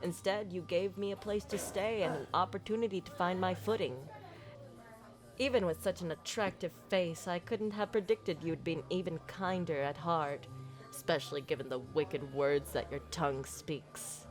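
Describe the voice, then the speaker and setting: A woman playing a flirty, silver-tongued pirate captain in a romantic ASMR roleplay, tender and sincere, with flowery, theatrical phrasing and deliberate pauses. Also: on the low side, steady tone, natural voice. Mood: wistful, tender. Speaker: audio roleplay performer. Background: background music.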